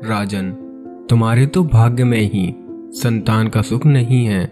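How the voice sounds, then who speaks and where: Man acting a low-voiced solemn sage, music behind. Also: a little slow, close to an everyday voice. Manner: grave, formal pronouncements. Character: solemn forest ascetic sage, calmly authoritative. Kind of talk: cartoon moral-story voice acting. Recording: plucked-string music under the voice.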